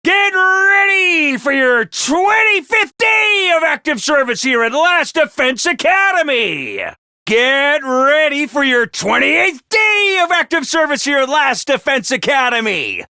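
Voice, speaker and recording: A man voicing a brisk, ruthless academy commander in a video game, barking orders and announcements. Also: extremely high pitch, very animated, pushed and bright, dry recording, clean studio sound, full character voice. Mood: announcing. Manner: clipped orders and announcements, drill-sergeant bark. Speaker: voice actor in a game.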